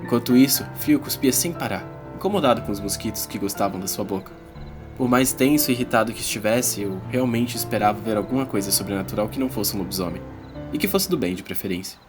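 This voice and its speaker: A man's voice: fairly low pitch, quick pace, over music, close to an everyday voice. A Brazilian man playing a teenage schoolboy who narrates an uneasy fantasy adventure in a dramatized audiobook. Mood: narrating. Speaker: first-person narrator.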